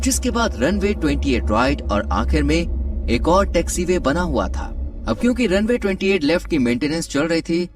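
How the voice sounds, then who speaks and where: A man delivering scripted, dramatic documentary narration. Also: on the high side. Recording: distant-sounding voice over background music. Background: music.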